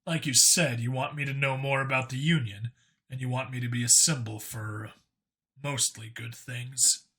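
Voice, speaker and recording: A man playing a blunt, wry human cop in an audiobook, with a casual, low-key delivery. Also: steady tone, normal speed, pushed and bright. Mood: sober. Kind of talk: audiobook character acting.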